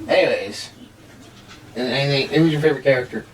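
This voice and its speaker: A middle-aged man giving a casual, rambling review in a halting way, as a host. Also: mid-range voice, a little hiss or hum. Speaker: amateur reviewer hosting with a child. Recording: distant mic, home recording.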